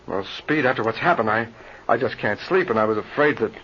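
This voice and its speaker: An actor playing a nervous, suspicious pilot with halting, fretful speech in an old-time radio adventure serial. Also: male voice, medium pitch, fairly bright tone, natural voice. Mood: anxious, fearful. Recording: dull, noisy old radio serial recording.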